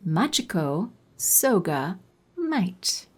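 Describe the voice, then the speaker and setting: A haughty sorceress villain for an audio drama. She draws her words out slowly and swoops theatrically through them, condescending and full of menace. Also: on the low side, big pitch swings, slightly rough, heavily acted. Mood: grave warning.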